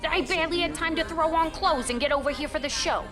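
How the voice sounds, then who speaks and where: A woman's voice: high voice, fast, lightly acted. A woman playing a sardonic, short-tempered studio crew member in a campy audio comedy, full of biting sarcasm. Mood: irritated. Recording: music bed behind voice.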